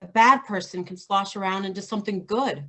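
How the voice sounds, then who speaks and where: A woman's voice: medium pitch, fairly quick, sharp tone. A woman reading the part of a weary, earnest woman fighter in a staged play reading. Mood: reflective. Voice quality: earnest, reflective delivery.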